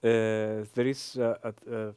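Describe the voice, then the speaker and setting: A man in his thirties with a Greek accent, answering interview questions with many hesitant pauses and fillers. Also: fairly low pitch, little pitch movement, dark tone, clean tone, dry recording. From Greece. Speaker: interview guest, engineering professor.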